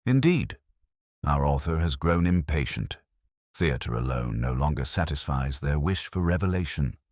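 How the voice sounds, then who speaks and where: A man voicing a shrewd, gentle police inspector in a mystery story, deliberate and dryly amused. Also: very deep voice, lively intonation, no room sound, clean studio sound, full character voice. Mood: musing.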